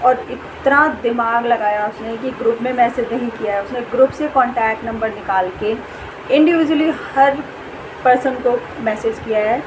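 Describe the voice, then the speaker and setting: High-voiced Indian woman, noisy recording. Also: normal speed. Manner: earnest, explanatory, repeats points for emphasis. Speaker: vlogger and school staff member. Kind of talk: informal spoken warning to viewers. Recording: noisy background hum.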